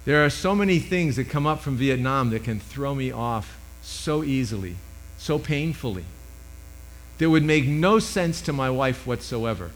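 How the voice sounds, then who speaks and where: An older man in his sixties delivers a sermon in a loud, carrying voice. He leans into emphatic points, then pulls back to a hush. His pitch sits in the ordinary middle range for a man, and he speaks into a microphone. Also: lively intonation, measured pace. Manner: emphatic preaching, swinging from loud to hushed. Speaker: preacher.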